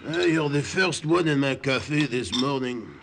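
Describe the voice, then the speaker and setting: An actor playing a chatty, solicitous Parisian café owner with a French accent in a 1940s radio drama. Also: male voice, medium pitch, normal speed, creaky, lightly acted. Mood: friendly, solicitous. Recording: noisy 1940s radio recording.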